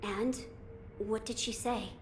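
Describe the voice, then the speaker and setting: A woman's voice: on the high side, fairly even intonation, slow, a little hiss or hum. A woman voicing an earnest young boy lord in a video game, formal and thoughtful. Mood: resolved. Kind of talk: video game cutscene acting.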